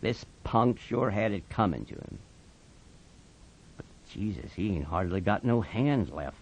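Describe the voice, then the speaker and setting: A man voicing a steady, authoritative ranch hand in an audiobook, speaking gruffly and bluntly. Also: a little hiss or hum, heavily acted. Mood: quiet authority. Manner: low-voiced, blunt, measured authority. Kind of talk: dramatised audiobook of a novel.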